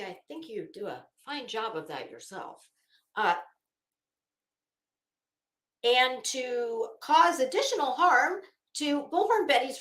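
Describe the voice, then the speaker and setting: Bright, high-voiced sardonic woman in echoey room. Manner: mocking, drily sardonic asides. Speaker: live-stream host and commentator. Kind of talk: sarcastic dramatic reading with running commentary.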